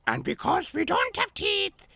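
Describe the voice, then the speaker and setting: A happy farmyard bird giggles and chatters high up, as a male narrator puts her on. She sounds silly and carefree. Her voice is a storybook bird's voice. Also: wildly swooping pitch, a little slow, vocal fry, pushed and bright, no room sound, heavily acted. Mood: giggly.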